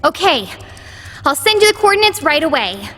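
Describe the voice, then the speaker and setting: A woman's voice: high voice, big pitch swings, normal speed, bright, forceful tone, no room sound, faint music behind, exaggerated voice. A woman voicing a helpful young female tech operator in an anime-style video game. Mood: focused.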